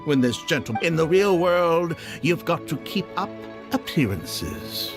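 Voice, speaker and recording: A man's voice: fairly high pitch, animated, measured pace, music in the background. An actor playing a fussy, worldly middle-aged man in a video game, placating and long-winded, with a wordy, cautious, lecturing manner. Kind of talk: acted video game dialogue.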